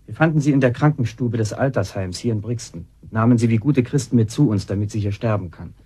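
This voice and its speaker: A man's voice: fairly low pitch, fast, mellow, husky. An actor in a German radio drama playing a smug, mocking con man who talks with sardonic, theatrical politeness. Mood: smugly triumphant.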